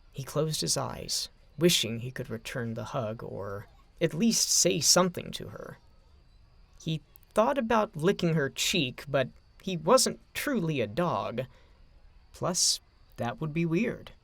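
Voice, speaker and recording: A man narrating a scripted story in a dramatic, expressive storytelling manner. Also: mid-range voice, creaky, no echo.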